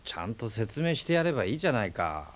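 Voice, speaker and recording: An easygoing, philosophical debater. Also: male voice, lowish voice, quick pace. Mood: bantering. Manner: calm, teasing, lecturing in a relaxed way. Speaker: storyteller and friend of the aunt. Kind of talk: radio drama acting.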